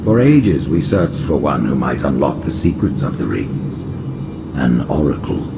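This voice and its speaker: A man voicing an ancient, scheming alien high priest with a gravelly, theatrical, manipulative delivery in a video game cutscene. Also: sing-song, unhurried, over loud music, full character voice. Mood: ceremonial. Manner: sly, theatrical, swooping delivery.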